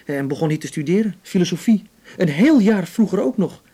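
An actor playing an easygoing young male activist in a naturalistic radio drama, calmly persuasive. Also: high voice, a little fast, breathy edge. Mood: reflective.

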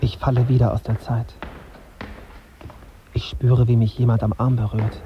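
A man's voice: medium pitch, flat delivery, normal speed, highs cut off, background noise, lightly acted. An actor playing a brooding, embittered young male journalist in a radio drama, with a sardonic, indignant manner. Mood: pensive narration. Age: young adult. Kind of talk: radio drama dialogue and inner monologue.

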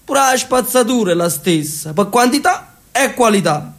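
An actor playing an elderly brother in a radio drama, a detached writer who speaks dryly and reflectively. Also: male voice, extremely high pitch, moderate pace, creaky, exaggerated voice. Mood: ironic. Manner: dry, reflective, argues calmly.

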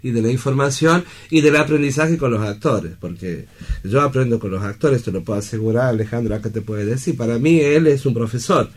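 A man's voice: mid-range voice, brisk, breathy edge. An Argentine man speaking Spanish as a guest theatre director in casual conversation, earnest and reflective, often ending on a questioning tag.

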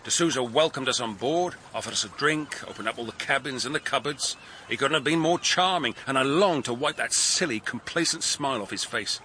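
An actor playing a gruff, frustrated police inspector in a radio drama, with an English accent and a blunt, exasperated manner. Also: male voice, medium pitch, a little fast, sharp tone, slight background noise, lightly acted.

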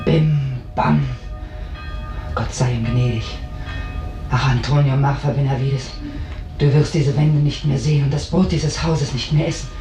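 Downtrodden maid, distant and echoey. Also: female voice, very deep voice, fairly even intonation, unhurried, long pauses, over music. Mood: plaintive lament. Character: downtrodden, weary maidservant, poor and resentful. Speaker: actor in a radio drama. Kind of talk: German radio drama acting. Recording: echoing room, away from the mic.

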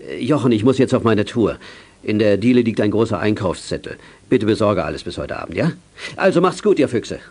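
An actor playing a brisk, teasing, mildly stern fatherly man in a children's radio play. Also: on the low side, animated, a little mellow. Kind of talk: 1980s German children's radio play acting.

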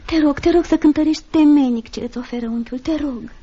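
A high, very mellow voice of an anxious mother played by an actress in a radio drama. She is gentle and trusting, pleading and soothing by turns. The recording is muffled. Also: natural voice. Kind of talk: Romanian radio theatre acting.

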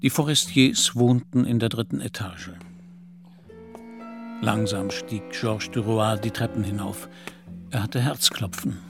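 A man's voice: fairly low pitch, unhurried, vocal fry, pauses often. Male radio play narrator over music.

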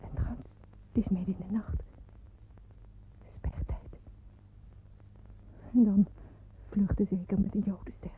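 A woman's voice: mid-range voice, fairly even intonation, unhurried, pauses often, lightly acted. Actress as a young woman in hiding, tinny recording. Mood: anxious, hushed. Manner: dramatic, intimate monologue. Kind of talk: radio play acting, first-person narration. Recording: tinny, narrowband old radio recording, faint noise.

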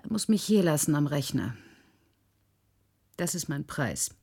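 Radio play lead: brittle, obsessed programmer, breathy and husky, halting, guarded delivery. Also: female voice, low-pitched, big pitch swings, mellow, heavily acted. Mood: defiant. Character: brittle, secretive, grieving woman programmer, obsessed and desperate.

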